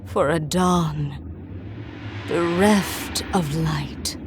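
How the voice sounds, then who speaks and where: A woman voicing an eternal, menacing dark spirit in a video game, solemn and deliberate. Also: deep voice, music in the background. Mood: cold, commanding. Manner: solemn, deliberate, archaic phrasing. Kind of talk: video game villain monologue.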